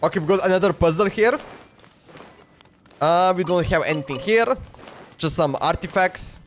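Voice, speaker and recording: A man's voice: sits high, moderate pace, slight background noise. A man livestreaming a video game, thinking aloud in casual commentary and often repeating himself, talking to the game and his chat. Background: game music.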